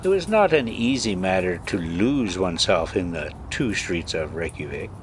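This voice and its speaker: A man reading a classic story aloud as scripted narration, with deliberate phrasing and dramatic emphasis. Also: deep voice, animated, slight background noise. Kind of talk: scripted audiobook-style narration.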